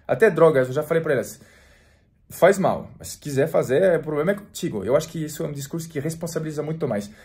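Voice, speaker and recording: A man in his forties from France, speaking Portuguese with a French accent in a relaxed, chatty vlog to camera, full of restarts and fillers. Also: fairly high pitch, fast talker. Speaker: French teacher and vlogger. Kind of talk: casual talk to camera.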